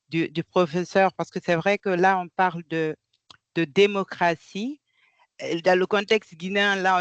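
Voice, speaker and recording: A woman's voice: fairly low pitch, clear, resonant tone, no echo. A woman from Guinea asking a question in an online conference over a video call, hesitant with many fillers and restarts. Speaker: audience member asking a question.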